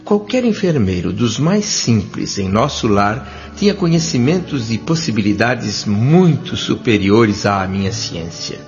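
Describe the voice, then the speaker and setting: An actor with a Brazilian accent playing an earnest, humble male doctor in an audio drama, speaking formally and politely. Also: on the low side. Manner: formal, polite, measured diction. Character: André, earnest humble male doctor spirit, newly arrived. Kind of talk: audio drama narration and dialogue. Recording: dull lo-fi audio with music bed.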